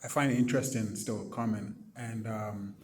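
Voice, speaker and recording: A man's voice: on the low side, fairly even intonation, moderate pace, darkish tone, slight background noise. A man from Ghana speaking thoughtfully in a panel discussion, hesitating often with fillers. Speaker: panel participant.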